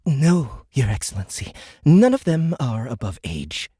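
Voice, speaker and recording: A man's voice: mid-range voice, big pitch swings, measured pace, warm tone, breathy edge, dry recording, studio quality, voice pushed up, full character voice. A man voicing a nervous, deferential human official in an audiobook, anxious and placating. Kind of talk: audiobook character acting.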